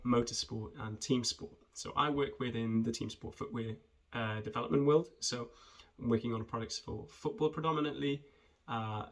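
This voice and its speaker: A man, talking in a friendly, conversational way with hesitant pauses as he introduces himself and his work for students. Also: medium pitch, a bit bright. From rural southwest Wales. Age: 20s. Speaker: junior footwear developer. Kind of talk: informal self-introduction video for students.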